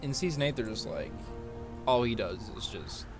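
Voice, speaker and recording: Male game streamer, loose rambling chat, swears freely, music clearly behind. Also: mid-range voice, expressive, normal speed.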